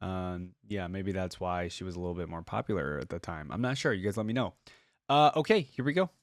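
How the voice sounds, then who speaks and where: A man's voice: deep voice, lively intonation, a little fast, no echo, clean studio sound. A man giving casual reaction commentary as a video host, informal and musing as he thinks aloud. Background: music from the videos being played.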